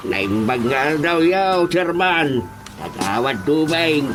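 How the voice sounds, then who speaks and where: A man plays an earnest village elder with an Ilocano accent in a radio comedy. His delivery is deliberate and halting, swinging in pitch from a fairly high base, with music behind. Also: very animated. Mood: greeting. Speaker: voice actor in a radio drama. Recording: music under the voice.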